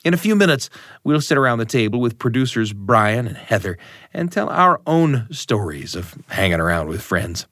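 A man hosting a radio talk show from a studio desk, friendly and chatty, with quick restarts as he talks. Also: mid-range voice, sing-song, a bit bright.

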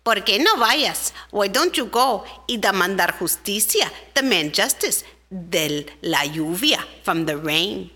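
A woman's voice: mid-range voice, lively intonation, a little slow, pushed and bright. A woman doing a helpful little cricket.

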